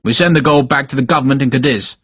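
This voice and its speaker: A man voicing a short, pious older cavalry major who speaks gruffly and brusquely in clipped commands. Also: mid-range voice, fast, fairly bright tone, dry recording, clean studio sound. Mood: explaining. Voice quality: gruff, brusque, barking laugh. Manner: clipped commands, fervent and impatient. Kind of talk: audiobook character voice.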